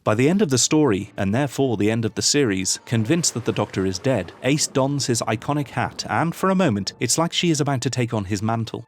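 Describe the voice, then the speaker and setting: A man narrating a scripted analytical video essay in a formal, measured, literary manner. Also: mid-range voice.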